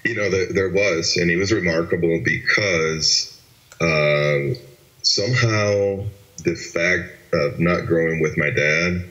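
Man in his forties, extremely low voice, forceful bright tone, earnest reflective telling, dull lo-fi recording. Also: takes it slowly. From Venezuela. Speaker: guest. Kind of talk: personal testimony interview.

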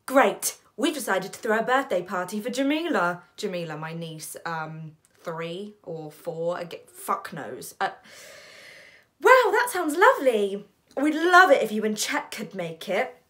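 A woman's voice: medium pitch, animated, measured pace, a bit bright. A woman acting a theatrical monologue as a weary, sardonic, foul-mouthed character, telling her story in a wry, dramatic way with exaggerated quoted voices. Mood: dry, exasperated.

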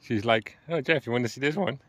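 A man's voice: mid-range voice, fast talker, fairly bright tone, no echo. A man whose first language is Dutch, chatting playfully while walking in a forest and searching for English words. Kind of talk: casual chat. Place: outdoors in a forest.

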